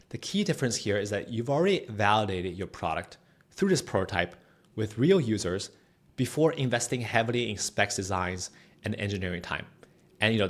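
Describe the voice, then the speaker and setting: A man presenting a software tutorial in a casual, conversational, explanatory way, often checking in with the listener. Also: on the low side. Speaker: tutorial host.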